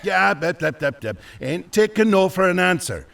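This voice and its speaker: An actor playing a gruff but kindly old fisherman in a fantasy audio drama, with a folksy, muttering, rustic way of talking. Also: high-pitched, big pitch swings, moderate pace, a bit bright, far from the mic, heavily acted. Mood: grudgingly kind.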